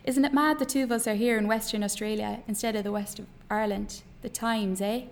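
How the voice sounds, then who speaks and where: A woman's voice: fairly high pitch, fairly even intonation, brisk, natural voice. A reader voices a young Irish woman in a novel. She is intense and chatty, pressing her point with earnest insistence. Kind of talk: novel reading aloud.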